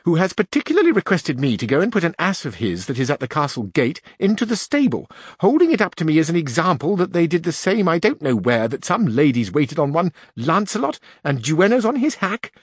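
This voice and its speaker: A man voicing an indignant, sharp-tongued old woman duenna in an audiobook reading. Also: sing-song, fairly quick, husky, no room sound, clean studio sound. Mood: aggrieved. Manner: shrill, scolding.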